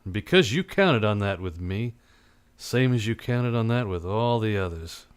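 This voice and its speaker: A man's voice: fairly low pitch, expressive, moderate pace, clear, resonant tone, close to an everyday voice. A man playing a cynical, unsentimental private detective in a live old-time radio drama, with terse, blunt, slangy tough-guy delivery. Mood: torn, cold.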